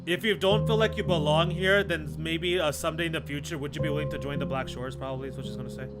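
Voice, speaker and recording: A man with a fairly high voice streams a video game. He thinks aloud in slangy, repetitive phrases, talking casually to his chat. Game music is clearly audible behind him. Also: fairly even intonation, fast. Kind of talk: casual livestream commentary, chatting with viewers.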